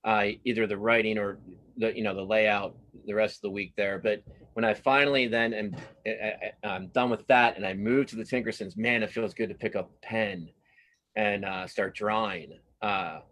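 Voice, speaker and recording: A man's voice: on the low side, fairly even intonation, moderate pace, a bit bright. A man speaking as an interview guest in casual chat, often rambling, repeating himself and correcting his phrasing as he goes. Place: live online video conversation.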